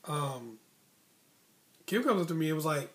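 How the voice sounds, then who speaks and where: A man's voice: on the high side, very animated, a little slow, fairly bright tone. A man hosting an advice vlog, telling a personal story in a casual, colloquial, conversational way and voicing remembered dialogue. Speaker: online advice host telling personal stories.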